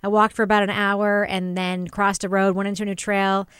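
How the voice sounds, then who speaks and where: A middle-aged woman with a clear, smooth voice, co-hosting a podcast and telling personal stories in casual chat. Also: mid-range voice, little pitch movement, fairly quick, fairly bright tone, no echo, clean studio sound. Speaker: podcast co-host.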